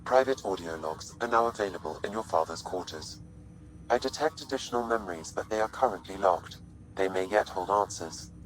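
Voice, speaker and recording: Deep, calm AI assistant. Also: male voice, brisk, slight background noise, low-quality recording. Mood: calm, formal. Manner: even, formal, detached. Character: calm, curious male-voiced artificial intelligence assistant. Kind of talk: video game voice acting.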